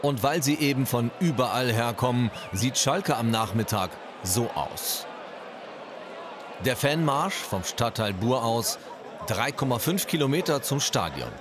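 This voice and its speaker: A man's voice: medium pitch, expressive, unhurried, crowd noise, background noise. A man narrating a regional TV news feature as a scripted voice-over.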